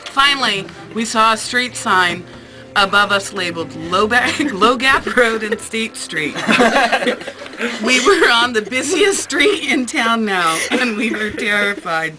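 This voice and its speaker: A woman's voice: on the high side, animated, slow pace, crowd noise, rough recording. A woman teacher reading a personal essay aloud in a classroom, with an amused manner. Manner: amused, often breaking into laughter. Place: school classroom. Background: music.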